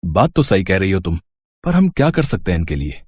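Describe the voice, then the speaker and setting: A man playing an earnest, easygoing young son and newlywed husband in an animated moral story, eager in manner. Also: sits low, fairly quick, dry recording. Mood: agreeable. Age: young adult.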